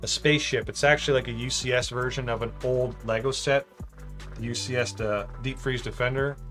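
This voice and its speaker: A man of ordinary pitch hosts a relaxed livestream, rambling and thinking aloud while he builds. He reads out chat, cracks jokes and drifts between topics. Soft music plays quietly behind him. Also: a little fast. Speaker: livestream host building a model.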